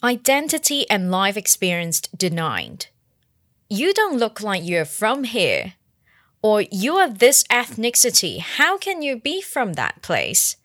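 A woman hosting a podcast, reading measured, scripted narration. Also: medium pitch, huge pitch swings, fairly bright tone, no room sound, studio quality. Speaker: podcast host.

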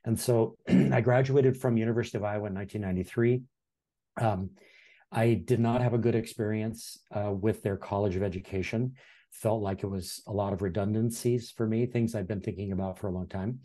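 A man in his sixties from Hawaii, telling his life story in a relaxed, reflective way as a podcast guest, with frequent ums and restarts. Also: on the low side, fairly quick. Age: 60s. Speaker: podcast guest, former history teacher. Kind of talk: casual interview storytelling.

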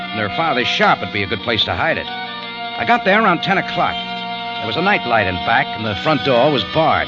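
Hard-boiled American radio detective played by a man, animated. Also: medium pitch, natural voice. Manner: hard-boiled, clipped, skeptical. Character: dogged, wisecracking male amateur detective and cafe owner. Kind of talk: old-time radio detective drama, first-person narration. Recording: dull vintage radio recording, music behind.

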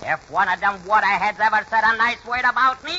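Cocky little man, very high piercing voice, slangy insults, old radio play with dull sound. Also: fairly quick, pushed and bright. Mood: mocking. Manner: brash, taunting wisecracks in slangy speech. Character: cocky wisecracking midget man, brash and insulting. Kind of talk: 1940s radio comedy acting. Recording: dull, noisy 1940s radio recording.

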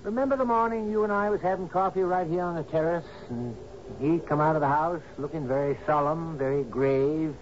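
A man's voice: a little high, fairly quick, lightly acted. Man acting a lighter-voiced, patient, knowing companion. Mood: gently insistent. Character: patient, knowing male companion of an island captain. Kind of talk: radio drama acting. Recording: muffled, hissy old radio broadcast.

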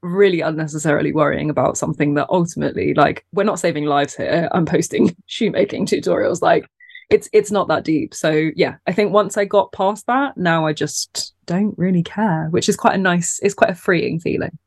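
A woman's voice: lowish voice, normal speed, vocal fry, no room sound, clean studio sound. Soothing chatty woman guest. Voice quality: soft, soothing voice. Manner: chatty, self-deprecating, laughs often. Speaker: guest, content creator. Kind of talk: casual podcast conversation.

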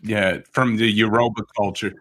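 A man's voice: lowish voice, steady tone, moderate pace, fairly bright tone. A man, hosting a conversational podcast and asking his guest thoughtful, open questions. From United States. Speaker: podcast host. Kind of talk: conversational interview.

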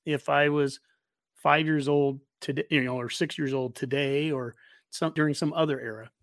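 A man's voice: fairly high pitch, steady tone, moderate pace, fairly bright tone, dry recording, studio quality. An American man in his forties chatting casually as a sports show host, talkative and rambling. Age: 40s. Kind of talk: casual interview chat.

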